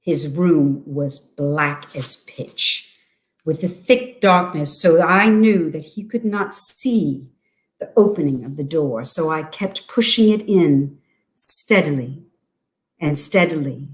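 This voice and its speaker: A woman giving a theatrical gothic horror reading as a cunning, gleefully mad murderer, with deliberate halting phrases and sudden emphasis. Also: deep voice. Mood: gleeful, suspenseful. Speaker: reader at a dramatic reading.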